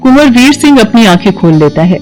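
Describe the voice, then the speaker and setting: High-pitched woman storyteller over music. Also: very animated, brisk, darkish tone, low-quality recording. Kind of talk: scripted Hindi moral story narration.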